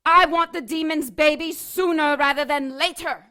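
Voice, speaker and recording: A woman's voice: high-pitched, fairly even intonation, moderate pace, sharp tone. A woman playing a depraved, power-hungry serpent goddess in an audio drama, grandiose, imperious and menacing.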